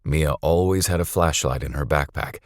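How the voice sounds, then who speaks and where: A man narrating a scripted story dramatically, with a suspenseful, deliberate delivery. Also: rumbling low, no echo, studio quality.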